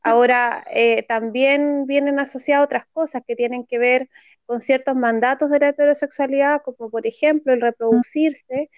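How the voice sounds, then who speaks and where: A woman's voice: high voice, steady tone, moderate pace, dry recording. A Chilean woman in her forties, speaking thoughtfully in a casual discussion, often restarting her words. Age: 40s. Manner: thoughtful, hesitant with repeated words. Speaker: podcast guest, activist. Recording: dry, dull lo-fi sound.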